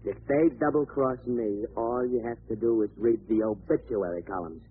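An actor playing a tough, cocky young convict in 1940s radio style, hard-boiled and defiant in manner. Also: male voice, mid-range voice, normal speed, close to an everyday voice. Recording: noisy, phone-like old radio recording.

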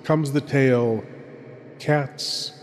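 A man playing a courtly, ghoulish horror show host, speaking theatrically and ominously with dramatic pauses. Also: mid-range voice, steady tone, faint music behind. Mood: ominous, musing. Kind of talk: old-time horror host narration. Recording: long cavernous reverb.

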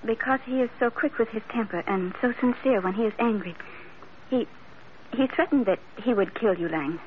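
Composed young bride in distress played by a woman, highish voice, formal careful phrasing, thin vintage radio recording. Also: moderate pace, slight background noise, natural voice. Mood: guarded, sad. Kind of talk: 1940s-50s radio drama acting. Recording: tinny, narrow-band old radio recording.

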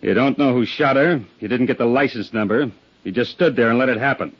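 An actor playing a gruff, suspicious police sergeant in 1950s radio drama, blunt, sarcastic and pressing. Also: male voice, mid-range voice, brisk, natural voice. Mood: hard, sarcastic. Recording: dull 1950s radio recording.

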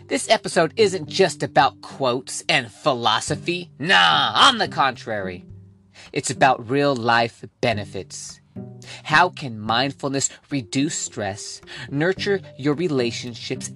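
A man's voice: medium pitch, fairly bright tone. A man hosting a motivational podcast, delivering a scripted monologue in a hyped, playful way and drawing out key words for drama. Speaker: podcast host.

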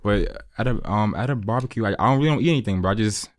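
A man chatting as a podcast guest, talking fast and repeating words as he starts sentences. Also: on the low side. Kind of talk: casual chat.

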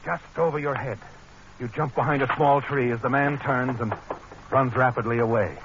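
An actor playing a knowing, sardonic narrator of a 1940s radio mystery, in a polished, insinuating, dramatic manner. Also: male voice, medium pitch, fairly even intonation, normal speed, background noise, lightly acted. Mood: suspenseful. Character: knowing, sardonic male narrator addressing the schemer he watches. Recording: muffled old radio, music behind.

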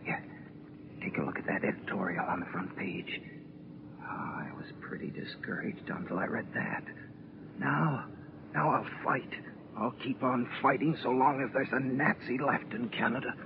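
A man's voice: medium pitch, normal speed, vocal fry, lightly acted. An actor playing a plain-spoken, determined resistance man in a 1940s radio drama, earnest and resolute. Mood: earnest resolve. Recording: very noisy, muffled old radio recording.

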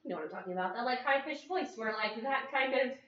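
High-voiced woman in an echoey room. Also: fast. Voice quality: high-pitched. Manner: animated, bursts into song snippets. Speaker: podcast co-host. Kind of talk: casual chat. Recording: echoey, far from mic, dull lo-fi, faint hiss.

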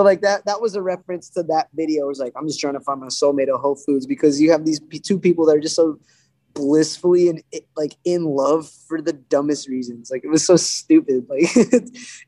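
A young man with a slightly high-pitched voice talks loosely about his own songs. He rambles, leans on fillers and hums guitar lines to show how they sound. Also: mellow. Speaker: musician guest discussing his album. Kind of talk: casual podcast chat.

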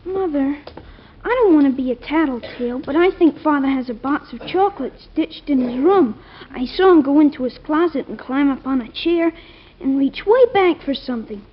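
A performer playing a polite, cheeky, deadpan young son in an old-time radio comedy. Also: high-pitched, moderate pace. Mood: tattling. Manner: innocent, matter-of-fact cheekiness. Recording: dull old radio recording.

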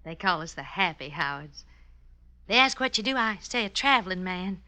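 An actress playing a sweet, devoted wife of an outlaw in a classic radio western, with a fond, folksy manner. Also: female voice, on the low side, expressive, normal speed, sharp tone. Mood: tender.

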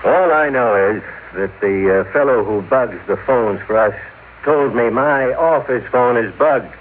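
An actor playing a stern, self-righteous company boss and brother in a vintage radio drama, speaking in an emphatic, moralizing way. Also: male voice, mid-range voice, unhurried, narrow, tinny audio, natural voice. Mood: accusing. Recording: thin vintage radio sound, faint noise.

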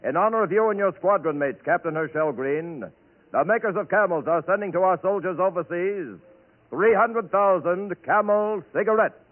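A man's voice: high voice, normal speed. A man with an American accent reading a scripted tribute as a 1940s radio announcer, on a tinny old recording.

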